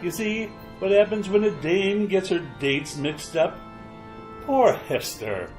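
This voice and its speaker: A man's voice: high-pitched, lively intonation, slow, darkish tone, soft background music. A man playing a macabre, wisecracking host of an old-time radio horror show, sly and teasing. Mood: sly, gleeful.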